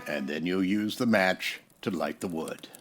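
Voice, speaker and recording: Low, steady frontier marshal. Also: male voice, measured pace, over loud music. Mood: calm, earnest. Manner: plain-spoken, earnest, folksy. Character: steady, upright Old West frontier marshal. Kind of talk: old-time radio western acting.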